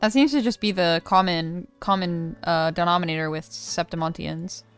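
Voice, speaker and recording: A woman's voice: on the low side, normal speed, smooth. A young woman giving casual, slangy let's play commentary, speculating aloud as she goes. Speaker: gaming streamer. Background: game music.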